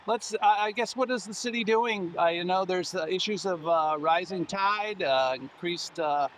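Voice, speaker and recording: A man interviewing for an oral history project, asking questions haltingly with many fillers. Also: high voice, a bit bright, a little hiss or hum.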